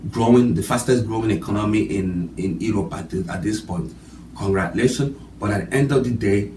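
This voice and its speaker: A man's voice: on the low side, a little mellow, a little hiss or hum. A man talking to camera in a casual political commentary, urging his viewers, earnest and repetitive, with frequent fillers. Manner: earnest, urgent, repeats points, many fillers. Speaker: YouTube commentator. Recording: echoey room, mic at a distance.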